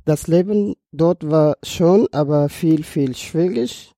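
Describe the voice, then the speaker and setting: Young man, 23, Afghan accent, native Dari speaker. Slow, slightly higher-pitched delivery, personal podcast account. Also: dark tone, dry recording, studio quality. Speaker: podcast participant telling his life story.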